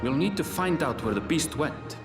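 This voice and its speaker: Earnest, higher-pitched game adventurer played by a man. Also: lively intonation, moderate pace, echoey room, big-room sound, over music, lightly acted. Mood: serious resolve.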